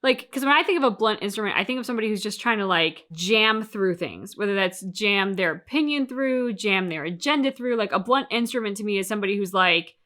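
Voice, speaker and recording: A woman's voice: mid-range voice, lively intonation, fairly quick, hardly any pauses, sharp tone. A woman co-hosting a reaction channel, talking through her thoughts casually and emphatically, with plenty of colloquial turns of phrase.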